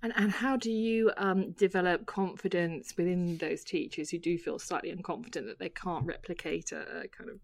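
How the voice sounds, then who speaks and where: Woman interviewer, lower pitch, dry close recording, asks questions with um and uh. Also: fairly even intonation, normal speed, hardly any pauses, darkish tone, no room sound. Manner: hesitant questions with fillers.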